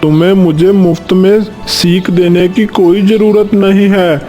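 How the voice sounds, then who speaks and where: Guy doing a snippy parrot in a kids cartoon, high voice, full of himself, music going behind. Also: level tone, unhurried, mellow. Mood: scornful. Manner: boastful, scornful, simple phrasing. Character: conceited, quarrelsome male parrot. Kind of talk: Hindi children's cartoon story acting.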